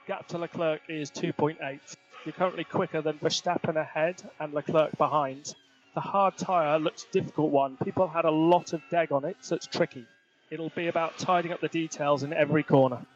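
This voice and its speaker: Male race engineer, slightly high-pitched, clipped and factual, heard over a muffled team radio channel. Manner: clipped, matter-of-fact. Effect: team radio transmission. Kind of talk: terse radio instructions to a racing driver.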